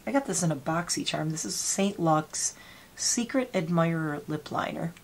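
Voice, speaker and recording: A woman's voice: sits low, normal speed, a little hiss or hum. A woman in her forties, sniffly, chatting casually to her viewers while doing her makeup at home. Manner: chatty, rambling, talks while applying makeup. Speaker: makeup vlogger. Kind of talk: casual get-ready-with-me chat. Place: small home room with gaming chair.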